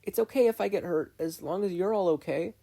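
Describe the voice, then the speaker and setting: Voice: mid-range voice, a little fast, creaky, dark tone, voice pushed up. A narrator voicing a despairing, self-loathing, defensive adolescent boy in a fan fiction reading. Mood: resigned. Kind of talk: fan fiction audiobook character voice.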